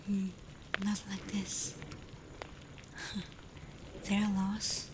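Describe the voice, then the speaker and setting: A woman playing a tender, protective alpha werewolf in an intimate ASMR roleplay, speaking softly and breathily, often in a whisper. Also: mid-range voice, monotone. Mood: soothing, curious. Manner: slow, gentle, hesitant when confessing feelings. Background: ambient storm noise.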